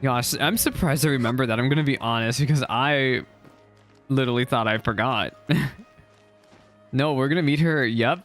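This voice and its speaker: A young man reacts to a game in an excitable, chatty way, thinking out loud and laughing easily. His voice sits at a middle pitch. Game music plays behind him. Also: normal speed, long pauses. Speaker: gaming reaction streamer. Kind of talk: casual reaction commentary.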